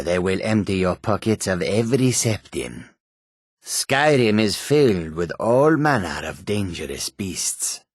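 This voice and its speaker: Husky, low cat-folk wanderer. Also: male voice, no echo, studio quality, exaggerated voice. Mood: weary, measured. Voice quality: raspy, gravelly. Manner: deliberate, formal, speaks of self in third person. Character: wary, courteous male cat-folk desert trader and guard. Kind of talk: video game character voice lines.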